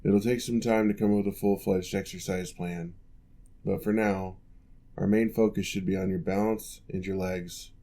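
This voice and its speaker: A man voicing a former orc general who now works as a patient physical therapist, giving calm, measured instructions in an ASMR roleplay. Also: sits low. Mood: reassuring.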